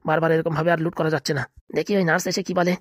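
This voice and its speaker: Man voicing a fast-talking, greedy hospital boss. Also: on the high side, flat delivery, no echo, studio quality, close to an everyday voice. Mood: bossy, scheming. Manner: bossy, smug, conversational. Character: greedy scheming male hospital boss, self-satisfied crook. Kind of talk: comedy cartoon dialogue.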